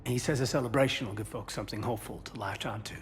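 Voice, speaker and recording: A man's voice: very fast, natural voice. A man playing an earnest, modest military officer in a video game, speaking in a friendly, humble way. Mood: humbled, earnest. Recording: low rumbling background noise.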